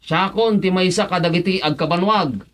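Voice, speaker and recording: Casting: actor as jolly councillor's spouse, mid-pitched, teasing and boastful, exclamatory radio comedy delivery. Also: moderate pace, fairly bright tone, close to an everyday voice. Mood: suggesting. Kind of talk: Ilocano radio comedy drama acting.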